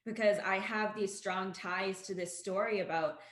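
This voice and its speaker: A young Canadian woman co-hosting a casual chat, telling a family story in an earnest, steady way. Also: mid-range voice, moderate pace, roomy sound. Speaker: co-host.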